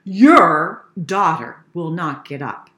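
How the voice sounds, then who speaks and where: A woman's voice: on the high side, sing-song, slow. A woman voicing a stern, exasperated father from a children's storybook, emphatic and scolding. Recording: roomy, echoey indoor sound.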